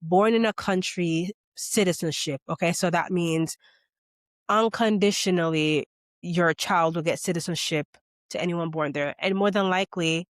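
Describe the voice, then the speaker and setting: A woman's voice: fairly low pitch, moderate pace, dry recording. A woman from Jamaica giving a casual, chatty explainer as a vlogger, often checking in with "okay?" and "you know". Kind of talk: casual talking-head explainer.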